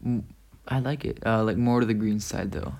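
A young man from Spain with a gravelly, creaky voice, chatting casually as an interview guest. He sounds laid-back and joking and often pauses on fillers while he thinks. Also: on the low side, steady tone, darkish tone. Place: indoors at a family home.

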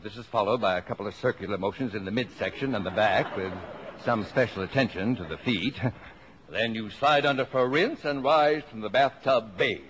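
Casting: male actor for proud, bossy head of a huge family, low-pitched and pompous, vintage radio drama with some noise. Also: normal speed, fairly bright tone, natural voice. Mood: pompous, explaining. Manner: pompous, emphatic, formal phrasing. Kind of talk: 1950s radio play acting. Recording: noisy vintage 1950s radio recording.

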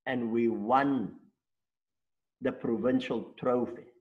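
Older south african man, native afrikaans speaker, reflective storyteller, average pitch, slightly muffled lo-fi sound. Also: fairly even intonation, takes it slowly. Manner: reflective, recounts at length with rhetorical checks. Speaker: interview guest. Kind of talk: storytelling interview.

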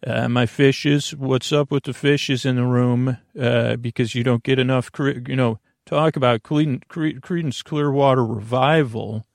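A man with a creaky voice hosting a bedtime podcast, meandering and halting through a lulling, soothing monologue full of tangents. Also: medium pitch, fairly even intonation, no room sound, studio quality. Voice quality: creaky, gravelly.

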